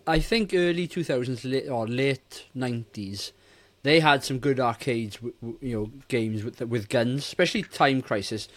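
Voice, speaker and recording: A man's voice: mid-range voice, normal speed. A British man in his forties talking casually as a co-host on a film review podcast. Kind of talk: casual chat.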